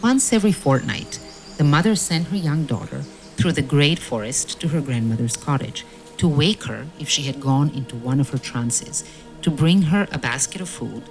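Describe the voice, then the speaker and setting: A woman narrator reading a scripted fairy tale in a measured, dramatic storytelling manner, with music. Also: deep voice, a little mellow, rough recording.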